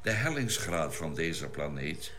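A measured scientist aboard a spaceship in a radio play. His voice is low and moves expressively as he explains with care. There is clear noise on the old recording. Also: sing-song, heavily acted. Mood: thoughtful, explanatory. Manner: deliberate, explanatory. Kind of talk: Dutch science-fiction radio drama acting.

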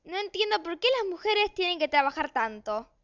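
A woman plays a bossy Argentine mother in a radio play, harried and watching every peso. Her voice is extremely high and bright, on a dull recording. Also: very animated, normal speed, exaggerated voice. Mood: nagging. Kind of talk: amateur radio play acting.

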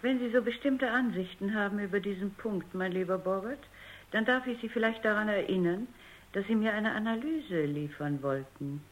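An actress playing a probing, authoritative robot psychologist in a German radio drama, with a formal and pointed manner. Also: female voice, mid-range voice, moderate pace, lightly acted. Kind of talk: German radio drama acting with first-person narration. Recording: dull, band-limited radio recording.

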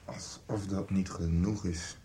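A man's voice: sits low, fairly even intonation, slow pace, dark tone. A man playing an embittered, defensive schoolteacher in a Dutch radio soap. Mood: guarded, weary. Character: embittered, defensive schoolteacher arguing with his brother. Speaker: radio drama actor.

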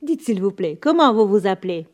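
A woman hosting a scripted bilingual radio language lesson as guide and interpreter, formal and deliberate, repeating phrases. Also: medium pitch, very animated, darkish tone.

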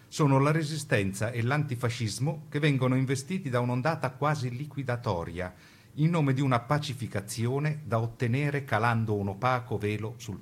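A male radio narrator reads scripted documentary material. His mid-pitched voice is slightly rough, his delivery measured and rhetorical.

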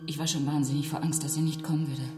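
An actress playing a giddy, tipsy woman in love in a radio play, with a breathless, excitable manner. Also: low voice, quick pace, close to an everyday voice. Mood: excited, infatuated. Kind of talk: German radio play acting. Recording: roomy, prominent music behind.

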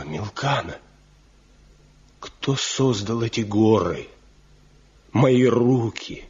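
An actor playing a proud, stubborn master woodcarver from a mountain village in a radio drama, speaking deliberately in folksy, declamatory phrases. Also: male voice, mid-range voice, slightly rough, heavily acted. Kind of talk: Soviet radio drama acting. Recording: muffled 1970 radio play recording.

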